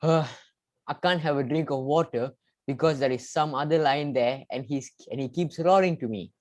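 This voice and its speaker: Storybook lion king, played by a young student: puzzled, stubborn, growly, mid-pitched. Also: normal speed, natural voice. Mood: worried, insistent. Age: teenager or young adult student. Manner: growling between lines. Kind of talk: readers theater storytelling.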